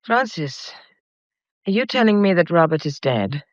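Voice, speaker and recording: An actress playing an overwrought, nervy English wife in a radio mystery drama, frantic and halting. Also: female voice, lowish voice, big pitch swings, dry recording. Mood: shaken. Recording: dull, muffled lo-fi audio.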